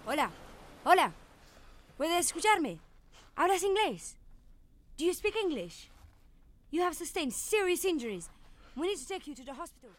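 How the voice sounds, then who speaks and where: Very high-pitched rescuer. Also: female voice, very animated, normal speed, pauses often. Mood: urgent. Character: urgent Spanish female rescue medic. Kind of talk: radio drama acting.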